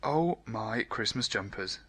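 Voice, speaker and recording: A man's voice: on the low side, animated, takes it slowly, a bit bright, full character voice. A man voicing a cheeky, eager little sausage roll in a gleeful, exclamatory storybook manner. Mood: excited.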